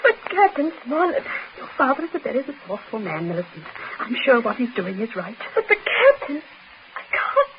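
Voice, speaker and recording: Casting: proper, fretful lady, high and fluttering, for a vintage radio thriller with heavy noise. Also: wildly swooping pitch, a little fast. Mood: distressed. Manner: fussy, emotional, genteel. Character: genteel English family women, fretful and proper. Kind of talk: 1940s radio acting. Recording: noisy, muffled 1940s radio recording.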